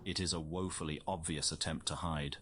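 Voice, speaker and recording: A man playing a scientist who narrates a wildlife field study in measured, formal scripted documentary style. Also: a bass voice, steady tone, a little hiss or hum. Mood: measured, informative. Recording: loud music under the voice.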